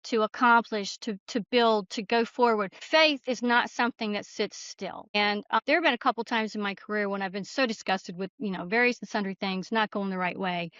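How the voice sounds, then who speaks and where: A middle-aged woman with a fairly high voice talks as an interview guest. She is earnest and candid as she reflects and tells stories. The sound is close and dry but a little muffled. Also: a bit bright, no echo. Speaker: guest author and screenwriter.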